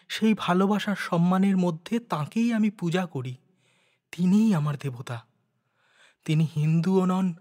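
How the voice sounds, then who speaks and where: A man voicing an earnest, resolute young woman in a storybook reading, soft and solemn. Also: on the high side, long reverb tail. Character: earnest, devoted young woman, once outcast, now resolute.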